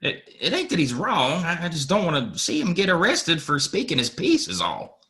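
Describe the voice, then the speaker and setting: A man acting a good-natured, nervous American cowboy sidekick in a Western audio play, folksy and excitable with a bit of a stammer. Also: fairly high pitch, normal speed, full character voice.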